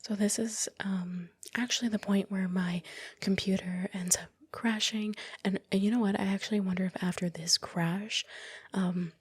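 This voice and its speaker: A woman whispering softly in a casual, reflective ASMR commentary, close to the microphone with a breathy voice. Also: medium pitch, steady tone, moderate pace. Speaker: ASMR creator narrating own project. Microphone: close, dry microphone.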